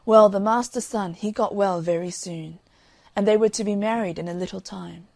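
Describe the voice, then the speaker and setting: A woman reading a fairy tale in an expressive, old-fashioned storybook narration. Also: mid-range voice, normal speed, creaky, dry recording.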